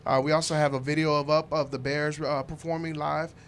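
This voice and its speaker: A man's voice: on the high side, little pitch movement, brisk, slight background noise. Laughing, teasing podcast co-host, a man. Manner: laughs often, teasing and crude. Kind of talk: casual podcast banter. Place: home recording studio.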